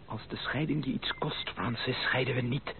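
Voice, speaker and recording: An actor playing an ardent, determined gentleman suitor, emphatic and romantic, in a Dutch radio play. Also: medium pitch, moderate pace, natural voice. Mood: fervent, intimate. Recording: narrow, noisy old radio play recording.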